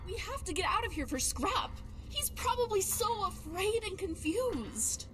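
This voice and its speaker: A woman voicing a quirky, chatty, fretful young adventuring companion in a fantasy game, expressive and wry. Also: extremely high pitch, measured pace, full character voice. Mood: upset, indignant.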